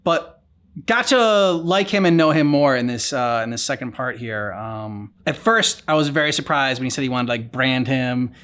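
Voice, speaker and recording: Man, mid pitch, reaction host, casual game commentary, halting, thinking aloud. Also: a bit bright.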